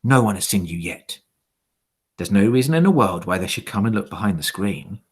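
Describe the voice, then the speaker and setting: A man's voice: on the low side, expressive, fairly quick, breathy edge, voice pushed down. A man voicing a frightened small boy in a children's storybook, warning himself in tense, urgent tones.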